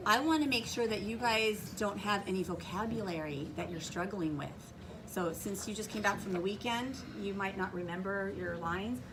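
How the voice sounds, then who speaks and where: A woman schoolteacher speaks at a middling pitch over clear classroom noise. She gives step-by-step instructions in an instructive way and keeps putting questions to her pupils. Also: moderate pace, distant mic. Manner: instructive, checks understanding with frequent questions. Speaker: elementary school teacher. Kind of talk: classroom teaching, giving instructions and asking questions.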